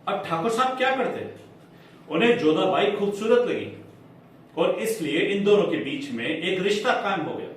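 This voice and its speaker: A man playing a smooth, insinuating defence lawyer in a theatrical courtroom monologue, formal and emphatic with deliberate dramatic pauses. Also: high voice, very animated. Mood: accusing. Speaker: acting student performing a monologue. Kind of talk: theatrical courtroom monologue in Hindi with English phrases. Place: indoor acting class room. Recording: echoey room, distant mic, faint noise.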